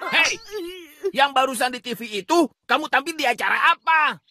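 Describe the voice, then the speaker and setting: A woman's voice: high voice, moderate pace, noisy background, natural voice. A woman voicing a brash, bossy cartoon schoolboy with a blunt, demanding manner. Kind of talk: animated cartoon dub acting.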